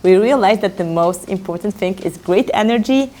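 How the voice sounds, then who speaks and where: A woman on an agency team, speaking in an interview in a measured, admiring way. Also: mid-range voice, dark tone.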